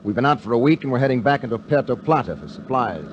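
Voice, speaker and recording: An actor playing an easygoing young navy veteran diver with an American accent, breezy and colloquial, in old radio drama style. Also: male voice, medium pitch, lively intonation, quick pace, muffled recording, a little hiss or hum, close to an everyday voice. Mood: wistful, breezy. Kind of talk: 1950s radio acting, first-person narration. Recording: vintage 1950 radio recording.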